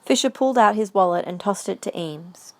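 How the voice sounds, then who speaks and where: A woman's voice: mid-range voice, expressive, fairly quick. A woman reading scripted story narration for an audio drama.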